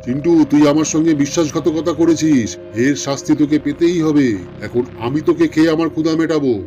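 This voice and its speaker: A man voicing a menacing, hungry lion king who talks bossily in a children's animated folk tale. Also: on the high side, fast talker, highs cut off, faint music behind, natural voice. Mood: angry, accusing. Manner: bossy, threatening.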